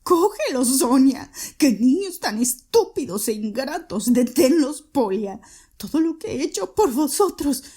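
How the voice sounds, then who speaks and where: A woman's voice: high voice, lively intonation, measured pace, dark tone. A woman voicing a frantic, grieving widowed mother who pleads shrilly and desperately.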